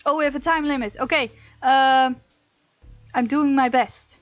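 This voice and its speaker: A woman's voice: high-pitched, fairly even intonation, unhurried, dry recording, soft background music. A woman hosting a gaming video, expressively reading game text aloud with casual, playful commentary.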